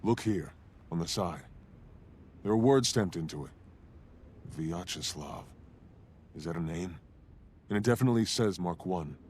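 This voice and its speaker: An actor playing a big, steady warrior hero in a fantasy video game, speaking in an earnest, measured, plain way. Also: male voice, deep voice, a little hiss or hum. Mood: serious. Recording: game music behind the voice.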